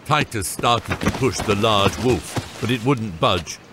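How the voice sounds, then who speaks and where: A man's voice: on the low side, slow, noisy background. A man narrating a scripted fantasy audiobook. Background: loud music.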